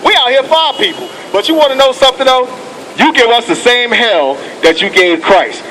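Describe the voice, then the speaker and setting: A man's voice: very high-pitched, expressive, a little fast, low-quality recording. A man preaching outdoors on a city street, fiery and profane, pushing each point with "okay" and "come on". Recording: loud street noise outdoors.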